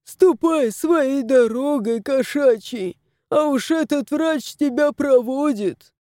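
A woman's voice: high-pitched, slow pace, mellow, dry recording, studio quality, pitched above the performer's own voice. A woman voicing a weepy little house spirit. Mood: fretful. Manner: wailing, pleading. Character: tearful little bearded male house spirit.

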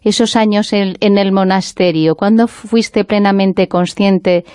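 A woman's voice: medium pitch, fairly even intonation, a little slow, darkish tone, no echo, studio quality. A woman working as a radio interviewer, putting questions to a guest.

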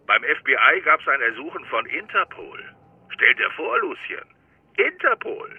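An actor playing a chatty, teasing police lieutenant heard through a telephone filter. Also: male voice, mid-range voice, sing-song, moderate pace, full character voice. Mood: wry, relishing. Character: chatty, wry male police lieutenant, teasing old colleague. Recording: narrow, raspy telephone line.

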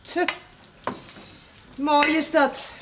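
A woman's voice: sits high, little pitch movement, drawn-out words. A woman playing a wary, curt priest's housekeeper in a television period drama, with a disapproving manner. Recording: noisy 1970s TV soundtrack. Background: sizzling pan, kitchen clatter.

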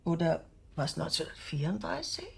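An actress playing a cheerful, serene old widowed aunt, warm and sentimental, in a German radio play. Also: female voice, low-pitched, big pitch swings, a little fast, vocal fry, breathy edge, full character voice. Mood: tenderly nostalgic. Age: older. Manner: warm, unruffled, given to small chuckles.

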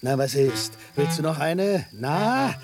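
An actor playing a cocky, territorial tomcat with a sentimental streak, blunt and bossy, in radio drama style. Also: male voice, on the high side, sing-song, slow pace, over loud music. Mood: combative. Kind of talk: German radio drama acting.

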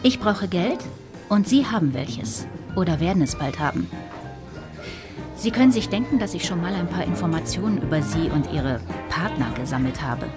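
A shrewd, insistent bounty hunter played by a woman in a radio crime drama. Her lowish voice is assertive and businesslike, with music clearly behind it. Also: expressive, moderate pace, natural voice. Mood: insistent, calculating.

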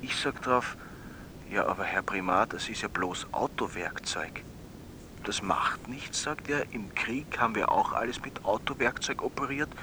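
A man's voice: fairly low pitch, moderate pace, noisy background, natural voice. A male actor playing an earnest, insistent young medical student with a Viennese accent, telling a story in a literal way. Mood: animated recounting. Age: young adult. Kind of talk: radio drama acting, dream storytelling.

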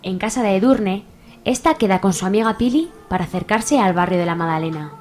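A woman's voice: medium pitch, fairly quick, darkish tone, faint music behind. A woman with a Spanish accent, reading scripted narration for a radio soap opera.

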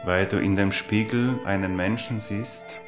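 A middle-aged, man reflects on personal experience. His voice is deep. He speaks in measured, emphatically repeated phrases over faint music. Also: steady tone. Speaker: interviewee.

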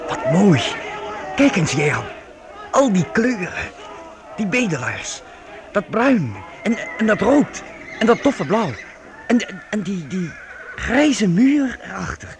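Excitable high-voiced dreamy youth. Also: male voice, slow pace, pauses often, crowd noise, heavily acted. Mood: enraptured. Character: earnest, dreamy youth enraptured by colours, longing to paint. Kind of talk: Dutch radio play acting. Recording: noisy radio play recording.

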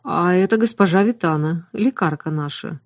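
A woman reading an audiobook voices an adult male valet who talks quietly and matter-of-factly. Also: lowish voice, moderate pace, dry recording, clean studio sound, lightly acted. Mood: plain. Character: adult male valet, sober and plain-spoken.

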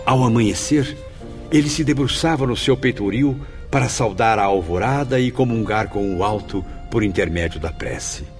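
Man acting low-voiced, sorrowful Brazilian spirit over music. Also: moderate pace, close to an everyday voice. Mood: wistful. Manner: sorrowful, solemn, literary diction. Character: melancholy, guilt-ridden male spirit grieving absent friends. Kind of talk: radio drama acting.

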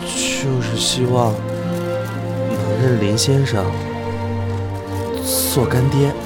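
A man acting an earnest, halting male film star in an audio drama, with music behind him. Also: medium pitch, steady tone, close to an everyday voice. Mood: earnest, deliberate.

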